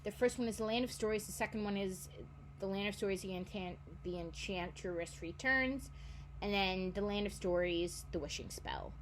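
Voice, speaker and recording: A woman's voice: mid-range voice, normal speed, a little hiss or hum, rough recording. A woman in her twenties chatting casually about books she wants to reread, rambling and often correcting herself. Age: 20s. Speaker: book vlogger. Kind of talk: casual chat.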